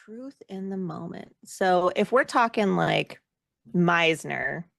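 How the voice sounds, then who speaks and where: A woman speaking casually as an interview guest, reflective and self-deprecating, with an animated way of talking. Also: on the low side, slow, gravelly, no room sound, studio quality. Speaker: guest, actor and performer.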